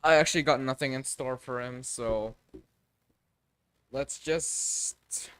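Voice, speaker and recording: A man live-streaming a game, thinking aloud in a casual, halting way with some mild swearing. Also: medium pitch, fairly bright tone, smooth.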